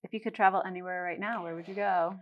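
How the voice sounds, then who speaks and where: A woman in her thirties interviewing a guest in a casual, upbeat way, laughing often and tossing in quick affirmations. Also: lowish voice, steady tone, lo-fi sound. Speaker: interviewer, magazine editor.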